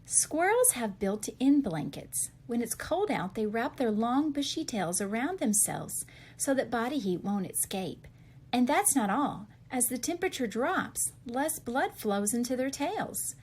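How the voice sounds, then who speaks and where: A slightly husky-voiced woman reading a children's picture book aloud, posing questions and answering them expressively for young listeners. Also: fairly high pitch, big pitch swings, unhurried, a little mellow.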